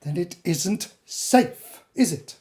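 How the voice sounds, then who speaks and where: A woman playing a stern, condescending authority figure in a radio drama, measured, formal and dismissive. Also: fairly low pitch, expressive, warm tone. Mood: cold, commanding. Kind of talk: audio drama acting.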